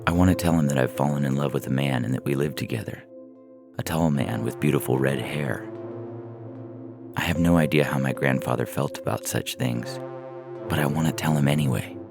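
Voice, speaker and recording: A man reading a first-person short story as a narrator, in a measured, deliberate way, from a script. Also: extremely low pitch, expressive, gravelly. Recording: music bed under the voice. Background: synthesizer music.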